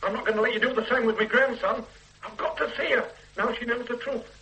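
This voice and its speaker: A man's voice: very high-pitched, quick pace, sharp tone, full character voice, poor audio. An actor in a radio drama playing a desperate father in his fifties who is on the run from the police, talking in a pleading, insistent way. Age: 50s. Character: desperate older father, fugitive murder suspect. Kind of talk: 1980s radio drama acting.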